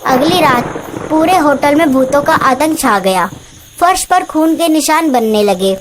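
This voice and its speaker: A woman's voice: high voice, animated, measured pace, background noise. A woman reading scripted narration for a horror story, as the narrator.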